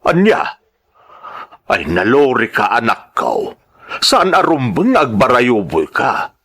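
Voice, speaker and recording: A man's voice: medium pitch, wildly swooping pitch, slow pace, a bit bright, husky, heavily acted. A man playing a worried, scolding older father in an audio drama, with an Ilocano accent. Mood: reproachful.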